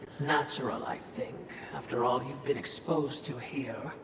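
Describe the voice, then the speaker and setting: A woman's voice: extremely low pitch, fairly even intonation, normal speed. A woman playing a sly, philosophizing supernatural watcher in a video game, wordy, insinuating and falsely deferential. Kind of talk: dramatic video game voice acting. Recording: echoey, distant, noisy ambience.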